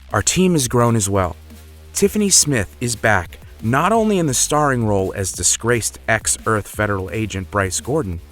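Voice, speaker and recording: A man hosting a podcast, delivering a scripted introduction in a polished, earnest way. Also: on the low side. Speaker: podcast creator and host. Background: music.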